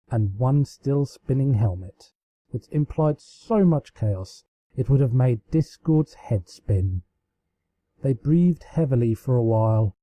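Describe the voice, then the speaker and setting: A man's voice: mid-range voice, mellow, dry recording, dull audio. A man reading fan fiction aloud as a dramatic storybook narration. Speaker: amateur fan fiction reader.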